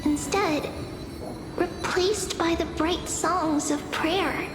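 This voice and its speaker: A woman voicing a gentle, wistful, childlike girl oracle with soft, tender, drawn-out delivery in a dubbed fantasy game. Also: very high voice, fairly even intonation. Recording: long reverb with loud music.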